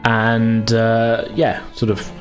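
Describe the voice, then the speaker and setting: A man's voice: lowish voice, completely flat delivery, takes it slowly, soft background music. A man acting as game master, casually improvising narration in a loose, conversational, hedging way.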